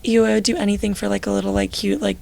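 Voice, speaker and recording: A young woman in her late teens, lead singer of a band, with a low, raspy voice, chatting casually with lots of filler likes in an interview. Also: little pitch movement, rapid-fire, dark tone.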